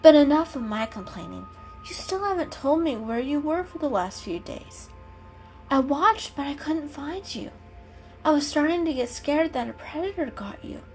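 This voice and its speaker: A woman voicing a gentle, anxious female cat with an earnest, hesitant manner in a dramatic storybook reading. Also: high voice, animated, normal speed, voice pushed up, low-quality recording. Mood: worried. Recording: music behind the reading.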